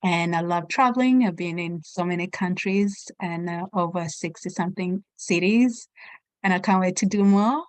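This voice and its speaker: A woman's voice: fairly low pitch, moderate pace, dry recording. A woman from Tanzania introducing herself cheerfully on a video call. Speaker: event host and panelist. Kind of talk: casual self-introduction on a call.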